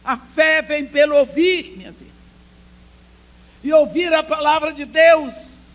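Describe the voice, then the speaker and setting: A woman whose first language is English, preaching with passion at a women's church conference, swinging between loud proclaiming and hushed storytelling. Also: high voice, steady tone, a little slow. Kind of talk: sermon.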